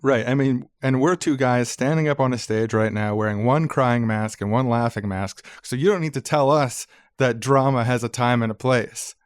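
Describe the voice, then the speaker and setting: A man's voice: mid-range voice, moderate pace, hardly any pauses, no echo, clean studio sound. A man co-hosting a podcast, bantering casually and comedically with a wry, mock-serious delivery. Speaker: podcast co-host.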